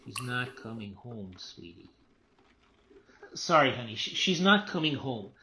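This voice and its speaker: A frayed family man and writer in a radio play. He is agitated and irritable, circling back on his own words in halting bursts. His mid-pitched voice swings sharply up and down. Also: big pitch swings, bright, forceful tone, slight background noise. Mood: distracted, placating. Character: tense, unravelling male writer, a married father.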